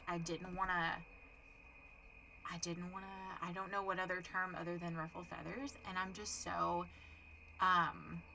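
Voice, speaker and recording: Bright, chatty young Texan woman. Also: lowish voice, vocal fry, slight background noise, rough recording. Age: young adult. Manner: chatty, frank, swears casually, laughs often. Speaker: podcast co-host. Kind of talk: casual chat.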